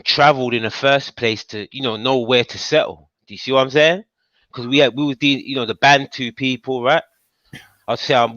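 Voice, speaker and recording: A man's voice: medium pitch, a bit bright, no room sound. A man on a panel debate, talking thoughtfully with hesitations and checking that others follow. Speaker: panel speaker. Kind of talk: casual debate. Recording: dull lo-fi call audio.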